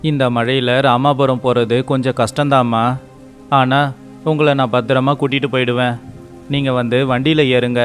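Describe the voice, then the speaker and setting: A man's voice: medium pitch, flat delivery, normal speed, close to an everyday voice. Man acting an earnest, humble rickshaw driver over music. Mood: respectful, earnest. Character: poor devout hardworking rickshaw driver, devoted husband. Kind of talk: animated moral-story voice acting.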